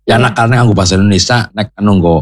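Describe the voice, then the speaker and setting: Husky low-voiced man, Banyumasan accent, relaxed and joking. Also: very fast, no echo, clean studio sound. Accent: Banyumasan Javanese. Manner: laid-back, joking, slips into local dialect. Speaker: content creator guest. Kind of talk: casual studio chat. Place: radio studio.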